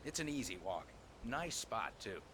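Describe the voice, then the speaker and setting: A man's voice: lowish voice, big pitch swings, normal speed, noisy background. A man playing a friendly, easygoing, folksy park ranger in a video game, politely spoken. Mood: helpful.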